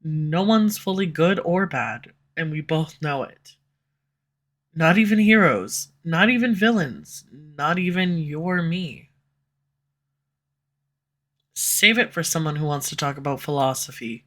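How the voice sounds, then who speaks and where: A woman's voice: deep voice, animated, a little slow. A woman voicing a sarcastic snarky villain. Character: snarky sharp-tongued villain, slightly tsundere. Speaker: audio roleplay voice actor. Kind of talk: scripted audio roleplay. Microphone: USB condenser mic.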